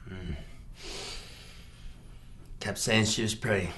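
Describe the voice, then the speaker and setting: A man performing a film monologue as a simple rural killer, halting and deadpan, grunting "mm" between short phrases. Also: sits low, slight background noise. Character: slow, simple, flat-voiced rural killer confessing his past. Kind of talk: improvised film monologue reenactment.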